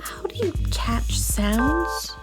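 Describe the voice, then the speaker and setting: A woman's voice: sits high, sing-song, very slow, over loud music, full character voice, poor audio. A woman playing a shy, sweet young alien schoolgirl with a heavy nervous stutter in a children's audio story. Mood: shy, curious.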